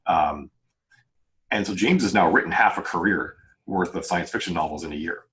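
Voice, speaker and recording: A man's voice: deep voice, moderate pace, a bit bright. A man speaking as a novelist on a podcast panel, chatting casually and explaining earnestly in a rambling way, often doubling back to rephrase.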